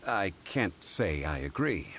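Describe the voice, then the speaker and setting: A man voicing a calm, erudite gentleman scholar in a video game, speaking formally and courteously in measured phrases. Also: low-pitched, a little hiss or hum, heavily acted. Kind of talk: video game character dialogue. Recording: faint music behind. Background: soft background music.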